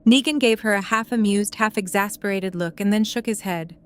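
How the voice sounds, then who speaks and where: A woman's voice: medium pitch, fairly quick, a bit bright, clear, resonant tone. A woman reading scripted narration as the narrator of an audio drama.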